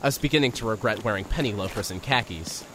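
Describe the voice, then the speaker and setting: A man's voice: on the low side, fast, a bit bright, a little hiss or hum, rough recording. A man narrating an audio drama in the first person and playing a nervous reporter, with an earnest, wry delivery.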